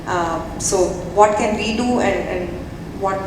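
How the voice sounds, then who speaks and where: A woman speaks as a panel guest, lively and chatty with frequent fillers. She is heard from a distance. A long hall reverb and clear background noise surround her. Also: mid-range voice, takes it slowly. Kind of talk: public remarks at a panel. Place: large event hall.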